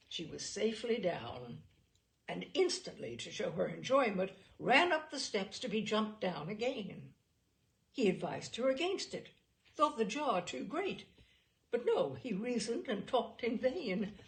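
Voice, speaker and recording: A woman reading a classic novel aloud as an audiobook narrator, with expressive, dramatic and deliberate phrasing. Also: mid-range voice, creaky, poor audio.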